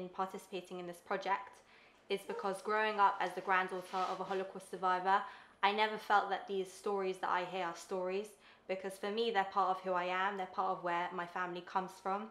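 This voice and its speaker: A woman's voice: medium pitch, sharp tone. A woman in her twenties interviewing her grandfather, speaking earnestly with frequent ums, in a living room. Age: 20s. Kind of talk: family interview. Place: bright living room, seated on sofa. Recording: roomy echo.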